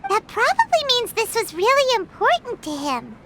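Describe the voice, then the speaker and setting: A woman's voice: extremely high pitch, wildly swooping pitch, normal speed, noisy background, exaggerated voice. A woman voicing a tiny, childlike floating companion creature in a video game, wide-eyed and exclamatory. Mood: curious.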